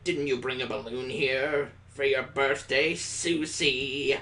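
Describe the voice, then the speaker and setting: A man's voice: medium pitch, steady tone, slow, bright, forceful tone. A man voicing a bleary, easily exasperated male schoolteacher and father in a visual novel game, with music behind him.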